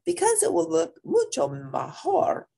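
A woman voicing a small, excitable male Chihuahua from a picture book, in a dramatic, exclaiming manner. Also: high voice, measured pace, gravelly, pitched above the performer's own voice, heavily acted. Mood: excited, dramatic.

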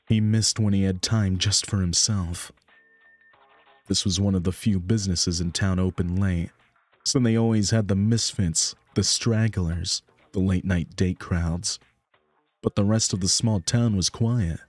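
A man narrates a scripted story in a fairly low voice. The recording is dry and close, with music underneath. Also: a little mellow, no room sound. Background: background music.

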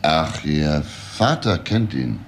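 A very low-pitched male detective from a crime radio play. He is composed and persistent, putting clipped, deliberate questions. There is faint noise behind him. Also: expressive, full character voice. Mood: stern.

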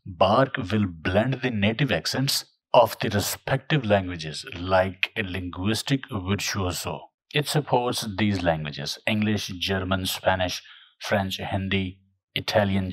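Low, husky male narrator. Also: dry recording. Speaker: tutorial narrator. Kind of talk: scripted voice-over narration.

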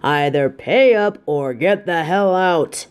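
A man voicing a surly, menacing wolf bandit in an audio drama, gruff and dismissive. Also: fairly high pitch, slow pace. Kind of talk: fan audio drama acting.

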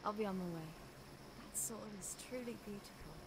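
A woman's voice: mid-range voice, fairly quick, very noisy, lightly acted. An actress playing a friendly, inquisitive young village woman, casual and polite in a game scene. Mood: friendly, curious. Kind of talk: acted game cutscene dialogue.

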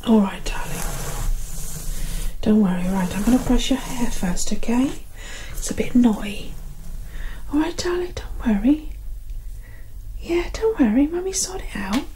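A British woman doing an ASMR roleplay as a soothing, affectionate mother, reassuring in soft close-up speech. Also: a little high, lively intonation, unhurried, pauses often, slight background noise, rough recording. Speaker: ASMR performer playing a mother. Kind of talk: ASMR roleplay, whispered and soft-spoken personal attention.

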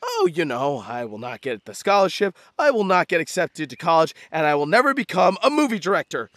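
A man's voice: on the high side, huge pitch swings, a little fast, fairly bright tone, no echo, clean studio sound. Guy doing a snooty teen film kid in a cartoon, super dramatic, sarcastic, voice all over the place. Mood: bitter, melodramatic. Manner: pompous, sarcastic, melodramatic. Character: pretentious, prickly, theatrical teenage boy film director. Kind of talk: animated comedy voice acting.

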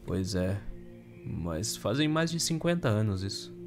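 A man's voice: lowish voice, big pitch swings, measured pace, faint music behind. A Brazilian man acting in an audio drama as a dutiful, wary police soldier with a formal, cautious manner. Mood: guarded, informative.

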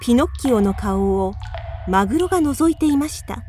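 Female radio drama narrator, high-pitched, scripted storytelling with soft music behind. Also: lively intonation, a little fast.

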